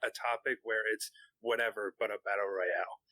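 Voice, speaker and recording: A man co-hosts a casual podcast with a lowish voice that has a bright, forward edge. He drops wisecracks, and the sound is dry. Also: fairly even intonation, fairly quick, no room sound, low-quality recording. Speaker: podcast co-host. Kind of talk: casual chat.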